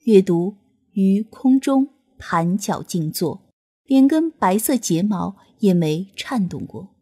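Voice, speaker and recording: A woman reading a novel as an audiobook narrator, in an expressive scripted storytelling style. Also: mid-range voice, slow pace.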